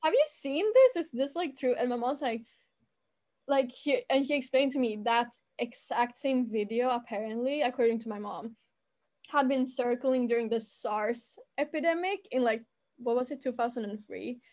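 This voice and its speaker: A woman with a fairly high voice tells a meandering story in a relaxed, casual chat. She strings her sentences together with constant fillers and quick restarts. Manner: rambling, filler-heavy storytelling. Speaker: student recounting school life.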